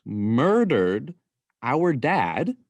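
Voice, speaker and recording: Casting: woman as shady uncle, low pitch, lively swings, pleading and incredulous, radio drama. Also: very slow, no room sound, heavily acted. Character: exasperated, shady adult uncle, male watch peddler. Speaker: student actor in radio play. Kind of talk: radio play acting.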